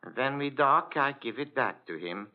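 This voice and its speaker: An actor playing an obliging ship's purser with a Scandinavian accent, speaking polite, halting English in 1940s radio drama style. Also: male voice, medium pitch, lively intonation, natural voice. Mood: helpful, earnest. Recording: dull old radio recording.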